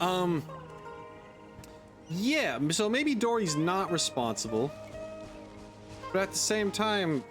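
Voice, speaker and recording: Casual male streamer, opinionated and informal. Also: fairly high pitch. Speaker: game streamer. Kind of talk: casual reaction commentary.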